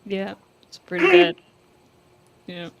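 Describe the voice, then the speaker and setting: A woman's voice: medium pitch, sing-song, slow, gravelly, dry recording, highs cut off. A woman co-hosting a livestream in casual, conversational chat.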